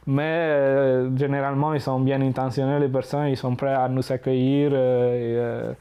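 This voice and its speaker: Mellow-voiced Italian-accented man. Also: medium pitch, level tone, moderate pace, smooth. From Italy. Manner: hesitant, frequent fillers. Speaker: immigrant interviewee. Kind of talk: reflective interview talk.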